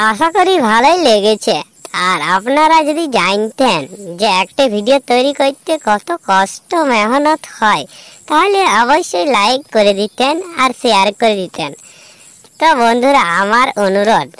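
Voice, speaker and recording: A woman's voice: fairly high pitch, a bit bright, clear, resonant tone, no echo. A woman presenting a scripted appeal to viewers of an online video.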